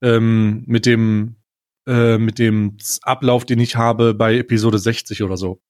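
A man's voice: lowish voice, level tone, measured pace, darkish tone. A man hosting a casual comedic podcast, talking in an informal, jokey, slangy way. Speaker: podcast host.

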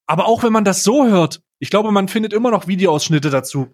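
Higher-voiced man, mocking podcast banter. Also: animated, brisk, a little mellow. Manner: mocking, rambling storytelling. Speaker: podcast co-host. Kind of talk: casual comedic podcast chat.

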